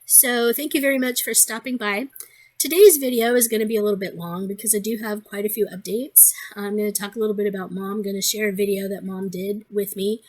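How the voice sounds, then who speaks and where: Woman, hoarse, stuffed-up, husky voice, casual crafting vlog, rambling chatter. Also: mid-range voice, a little mellow. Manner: rambling with frequent ums and restarts. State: hoarse and congested. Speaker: crafting vlogger.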